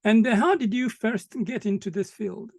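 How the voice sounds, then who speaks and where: Calm interview host, dry sound. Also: male voice, sits high, moderate pace, vocal fry, dry recording. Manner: calm, brief questions with frequent "mm" acknowledgements.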